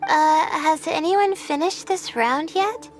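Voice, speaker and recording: A woman's voice: high-pitched, slow pace, music in the background. A woman voicing a timid, polite, weary young student in a video game, speaking hesitantly. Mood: hesitant, friendly.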